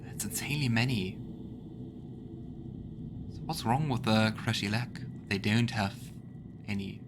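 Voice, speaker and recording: Guy doing a casual let's play, kinda low voice, bit of game noise behind him. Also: measured pace. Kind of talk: casual game commentary, reading dialogue choices aloud.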